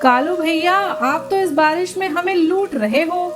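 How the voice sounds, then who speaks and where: A vain, fussy bird lady from a kids' cartoon, played by a woman. The voice is extremely high and carries a complaining manner. Music runs underneath. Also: measured pace. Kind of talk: Hindi children's cartoon dubbing.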